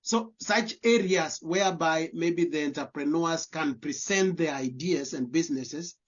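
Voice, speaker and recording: A man's voice: fairly high pitch, a little slow. Earnest Kenyan man, dull lo-fi audio. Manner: earnest, repeats words while searching for phrasing. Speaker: guest, diaspora community leader. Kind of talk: interview answers.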